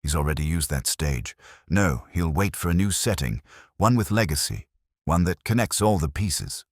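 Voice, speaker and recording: A man playing a grave, shrewd police inspector in a mystery story, measured and declarative, with a husky voice. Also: low voice, expressive, no room sound, clean studio sound, pitched above the performer's own voice. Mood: brooding, deliberate.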